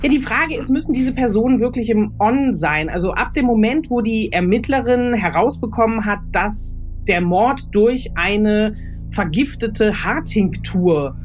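A woman's voice: fairly high pitch, animated, measured pace, soft background music. A woman speaking unscripted as a guest author, explaining her advice step by step as she thinks aloud. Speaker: guest expert, radio drama author. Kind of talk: unscripted expert advice in conversation.

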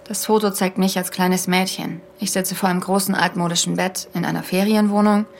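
An actress plays a haunted woman podcaster on a search in an audio drama. Her mid-pitched voice carries a lot of creak, and she speaks with earnest intensity. A little background noise sits behind her. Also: little pitch movement, fairly quick, lightly acted. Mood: stunned, urgent. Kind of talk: audio drama, first-person podcast narration.

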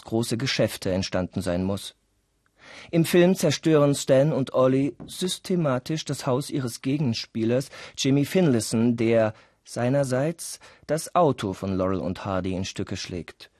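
A man's voice: medium pitch, a little mellow, dry recording, studio quality. A man reading scripted documentary-style narration for a radio play. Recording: music behind the voice. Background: music.

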